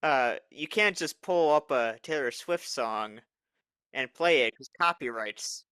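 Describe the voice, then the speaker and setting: A man co-hosting a podcast in loose, casual chat, ribbing his co-hosts with a teasing, jokey edge. His voice is bright and forward. The recording is dry and close, with no room sound. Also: medium pitch, expressive, unhurried, clean tone, dry recording. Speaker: podcast co-host.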